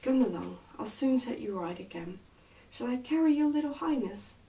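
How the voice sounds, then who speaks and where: A woman's voice: a little high, lively intonation, normal speed, creaky, mellow, distant mic, voice pushed up, low-quality recording. A woman voicing a plain-spoken, confident young miner boy in a storybook, frank and helpful. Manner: frank, matter-of-fact.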